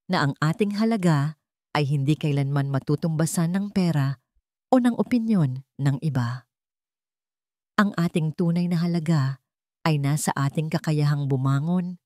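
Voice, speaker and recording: A woman voicing a confident, sincere self-made businesswoman and mother in a dramatic story, speaking clearly and earnestly. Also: deep voice, darkish tone, no echo, studio quality, lightly acted. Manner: clear, earnest, formal speechmaking.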